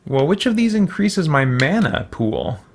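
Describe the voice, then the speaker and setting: A man's voice: fairly high pitch, sing-song, unhurried, slight background noise. A man giving casual game commentary, thinking aloud to himself in a musing, tentative way. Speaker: gamer hosting a let's play.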